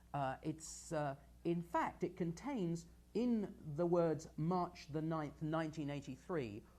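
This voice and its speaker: A man hosting a live television show, giving energetic, emphatic and conversational analysis of the media. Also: fairly high pitch. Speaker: television host and news critic.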